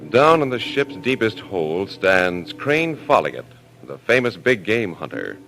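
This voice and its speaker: A man's voice: lowish voice, very animated, a little slow, gravelly, fairly bright tone, poor audio. A man announcing and narrating in an ominous, theatrical 1940s radio horror style. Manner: theatrical, portentous. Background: music.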